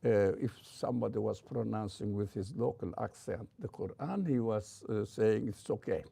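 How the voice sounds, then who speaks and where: An older man with a heavy Turkish accent giving an informal religious lecture as a teacher, in a halting way with frequent pauses. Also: fairly low pitch, gravelly, mellow, slightly rough, dry recording. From Turkey.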